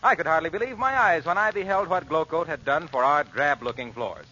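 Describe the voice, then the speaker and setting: Polished, animated vintage radio announcer man. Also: a little high, a little fast, lo-fi sound. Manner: polished, earnest salesmanship. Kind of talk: 1940s radio commercial reading. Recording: band-limited old radio recording.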